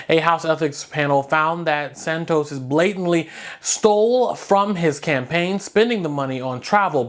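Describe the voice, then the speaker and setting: An American news anchor delivers a scripted bulletin. The voice sits at a medium-high pitch between typical male and female ranges, reading headlines and short stories in a broadcast narration style.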